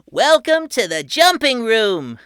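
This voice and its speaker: A woman voicing an excited young boy who shows off his inventions, calling out like a showman. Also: takes it slowly, smooth, dry recording, studio quality, exaggerated voice. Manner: shouty and showman-like, booming announcements.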